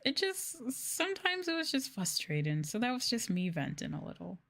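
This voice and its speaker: A young adult woman chatting casually on a livestream meant as soft ASMR, in a conversational, apologetic venting way. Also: on the high side, sing-song, normal speed, vocal fry. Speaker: streamer, ASMR VTuber.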